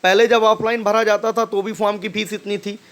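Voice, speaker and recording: A high-pitched man hosts an informal commentary. He explains point by point in an insistent, admonishing way. He keeps adding short check-in tags as he goes. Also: flat delivery, brisk. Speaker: host commenting on a recorded phone call.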